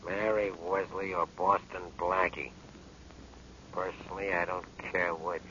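An actor playing a cold, callous killer with a coolly menacing manner, in 1940s radio crime style. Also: male voice, sits low, level tone, measured pace. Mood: cold, smug. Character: cold, callous male killer reporting a job. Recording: dull, noisy old radio recording.

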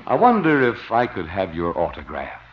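Swooping, smooth-talking radio salesman. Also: low voice, moderate pace, very noisy. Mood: flattering. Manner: flattering, ingratiating. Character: smooth-talking flattering salesman, folksy con man. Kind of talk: 1950s radio comedy acting. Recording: dull lo-fi old radio recording.

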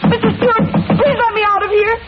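A woman's voice: very high-pitched, level tone, fast talker, soft background music. An actress playing a meek, polite, anxious young woman seeking work, deferential and hesitant, in 1940s radio horror style. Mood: panicked, pleading. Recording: noisy, muffled old radio recording.

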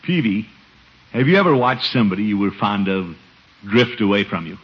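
Blustery deep-voiced radio uncle. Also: male voice, expressive, normal speed, slightly rough. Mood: glum. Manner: blustery, exclaiming, muttering asides. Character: pompous, put-upon middle-aged uncle, jealous and scheming. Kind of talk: 1950s radio situation comedy acting. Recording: noisy, dull 1950s radio recording.

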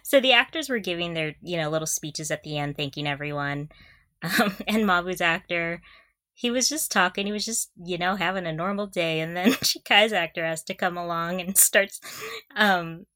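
A woman's voice: fairly low pitch, moderate pace, bright, forceful tone, no room sound, studio quality. A young woman co-hosting a casual fan podcast, talking in a giggly, gushing way. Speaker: podcast co-host.

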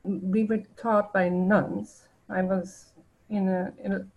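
A woman in her seventies from the Netherlands with a Dutch accent, recalling things in a halting way as a podcast guest. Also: mid-range voice, little pitch movement, phone-quality sound. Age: 70s. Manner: halting, with frequent restarts and light laughter. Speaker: guest artist. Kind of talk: casual podcast interview.

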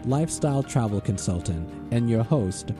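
A man's voice: medium pitch, lively intonation, moderate pace. A man reading a scripted radio show promo, introducing himself as the host. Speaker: radio host, travel consultant. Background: plucked guitar music.

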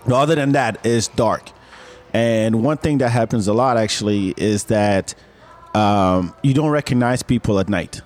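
A man's voice: fairly low pitch, a little slow. A man from Senegal telling a ghost story in casual talk, rambling and repeating words as he acts out the dialogue. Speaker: guest storyteller.

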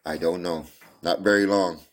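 A man reading the part of a weary frontier man, gentle with a child, in a folksy drawl. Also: low voice, fairly even intonation, normal speed, natural voice. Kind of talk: amateur audiobook reading.

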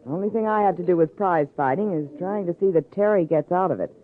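An actress playing a cool, protective young fiancée in 1950s radio crime drama, brisk, direct and no-nonsense. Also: female voice, fairly low pitch, a little hiss or hum, lightly acted. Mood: firm, protective. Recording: muffled vintage radio recording, faint music.

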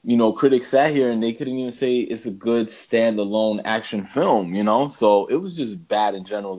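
A man's voice: on the low side, darkish tone. A man co-hosting a podcast in casual chat, talking in a slangy, emphatic, rambling way. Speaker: podcast co-host. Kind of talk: casual chat ranking bad video game movies.

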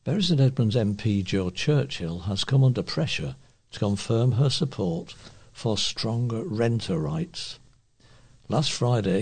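A man's voice: medium pitch, darkish tone, breathy edge. A man working as a volunteer newsreader, reading local news reports and quotations aloud. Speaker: volunteer newspaper reader.

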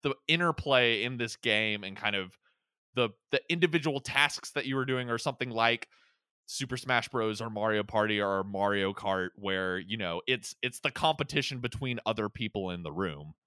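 Clear, bright man chatting reflectively. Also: fairly low pitch, moderate pace, no echo, clean studio sound. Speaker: podcast commentator. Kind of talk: casual film discussion.

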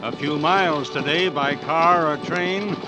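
Casting: male vintage radio narrator, American, slightly high voice, measured storytelling under loud music. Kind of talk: scripted 1940s radio narration. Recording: old 1940s radio recording.

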